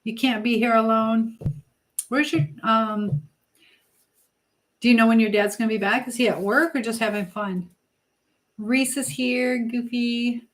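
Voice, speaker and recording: A woman's voice: fairly high pitch, fairly even intonation, measured pace, long pauses. A middle-aged woman hosting a casual live chat from home, talking in a chatty, rambling way as she hops between topics and replies to viewers. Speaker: livestream host.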